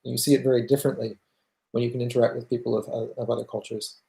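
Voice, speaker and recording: A man with a Canadian accent answering viewer questions in casual livestream talk, thoughtful and halting as he goes. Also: mid-range voice, little pitch movement, creaky, dark tone. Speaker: music channel host answering viewer questions.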